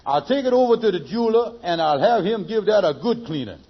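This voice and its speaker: A middle-aged schemer from a 1940s radio comedy, full of bluster. His voice is high and husky, and he stammers and repeats phrases as he talks. The vintage recording is muffled. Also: animated, brisk. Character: scheming, blustering middle-aged con man, big talker. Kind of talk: 1940s radio sitcom acting.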